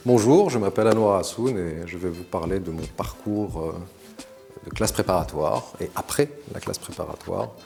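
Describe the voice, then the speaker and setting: A man in his forties, raised in Morocco, talks through his past with a very low voice. He speaks in a measured, articulate way and often pauses to hesitate. Soft music plays faintly underneath. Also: gravelly. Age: 40s. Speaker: interview guest. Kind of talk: recounting his career to camera.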